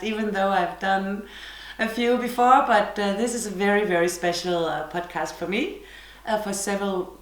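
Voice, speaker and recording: A woman's voice: on the low side, echoey room. A woman from Denmark with a Danish accent, hosting her podcast from her living room in a chatty, self-deprecating monologue. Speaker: podcast host. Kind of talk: casual monologue to camera.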